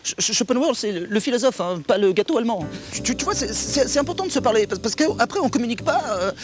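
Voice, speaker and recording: A man's voice: very high voice, lively intonation, fast, over music. A man playing a neurotic, stammering intellectual who rambles anxiously and repeats words. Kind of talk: sketch comedy.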